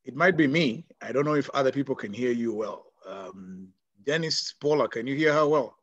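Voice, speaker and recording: Husky man moderating an online panel. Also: medium pitch, no echo, dull audio. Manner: brisk and encouraging, gives short prompts. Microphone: video call mic.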